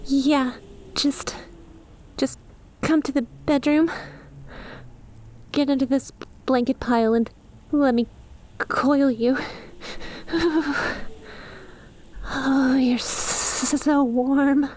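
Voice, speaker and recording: A woman in an ASMR roleplay voicing an affectionate young lamia best friend, softly and intimately, with some hesitation. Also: high voice, fairly even intonation, very slow, pauses often, slight background noise. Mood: shivering, relieved.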